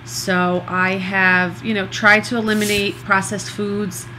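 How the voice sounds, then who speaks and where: Middle aged woman, stuffy nose, slow and earnest, bright voice, faint room hum behind. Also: mid-range voice, flat delivery, rough recording. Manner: earnest, slow, many pauses and fillers. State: congested. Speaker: vlogger recovering from nose surgery. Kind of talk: casual diary-style video.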